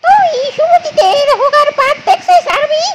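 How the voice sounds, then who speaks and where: A very high, squeaky cartoon boy, cheeky and boastful. He talks in taunts and exclamations, in the broad manner of comic cartoon dubbing. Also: normal speed, very noisy. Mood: cocky, taunting. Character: cheeky, boastful childlike cartoon troublemaker named Shubhojit, male.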